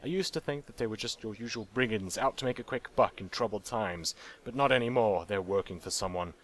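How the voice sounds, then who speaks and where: Stern guard captain lady, worried, giving orders, man just reading her lines out loud in his normal voice. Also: lowish voice, fairly quick. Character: brisk female guard officer, worried, commanding.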